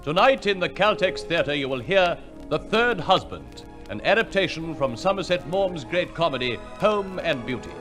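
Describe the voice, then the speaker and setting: A man's voice: fairly high pitch, big pitch swings, moderate pace, over loud music. A man announcing a radio play in a formal, theatrical 1950s scripted style.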